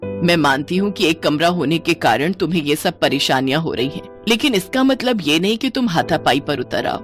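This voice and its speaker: A woman's voice: low-pitched, lightly acted. A woman voicing a stern but caring mother-in-law in a cartoon family story, admonishing and reasoning. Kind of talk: animated Hindi family story dialogue.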